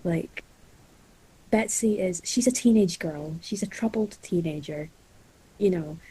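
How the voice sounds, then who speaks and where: A woman's voice: on the low side, measured pace, mellow, breathy edge, poor audio. A nineteen-year-old Scottish woman chatting casually as a podcast co-host. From Scotland. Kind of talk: casual chat.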